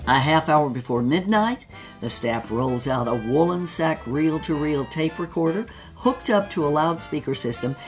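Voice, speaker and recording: An actress playing an old former film noir star, telling her story in a wry, blunt, slangy way. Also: deep voice. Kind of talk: conversational storytelling in an audio drama.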